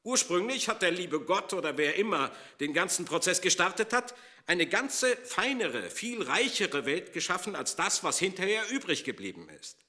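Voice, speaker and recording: A man's voice: sits high, sing-song, moderate pace, sharp tone. A man giving an unscripted explanation in an emphatic manner, restarting his sentences as he goes.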